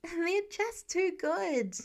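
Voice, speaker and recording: Woman playing a teenage boy hero, high-pitched, boyish, sheepish, casual, storybook dialogue. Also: expressive, very slow, heavily acted.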